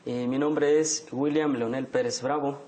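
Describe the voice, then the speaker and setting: A young man from Chiapas, Mexico, a theology student who prays and reads scripture slowly and carefully. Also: mid-range voice, steady tone, mellow.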